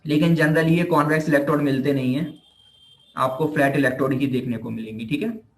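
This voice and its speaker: A man's voice: a little high, a little fast. A man with an Indian accent giving an informal explanatory lecture as a physiotherapy teacher, repeating himself and checking that students follow. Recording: roomy echo on the voice.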